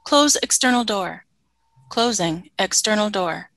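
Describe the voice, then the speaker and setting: A woman's voice: medium pitch, expressive, a little slow, fairly bright tone, no echo. A woman playing a stern, obedient female alien soldier in a radio play, speaking in formal, clipped, literal statements. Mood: dutiful.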